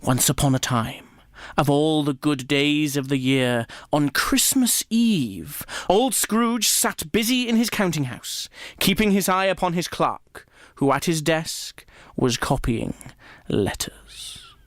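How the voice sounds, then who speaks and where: A man narrating a classic tale for a radio play, measured, with dramatic pauses. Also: a little high, animated, dry recording, clean studio sound.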